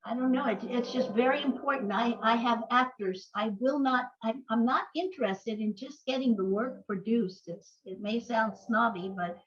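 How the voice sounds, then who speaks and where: A woman's voice: fairly high pitch, normal speed, few pauses. An older woman who works as a playwright, giving reflective, anecdotal answers in a conversational interview. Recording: dull, lo-fi voice recording.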